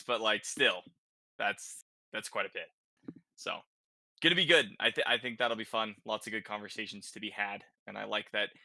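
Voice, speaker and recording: Man, very bright forceful voice, dry close sound, informal podcast host, conversational, thinking aloud. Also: on the low side, gravelly, no echo. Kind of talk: casual chat about video games.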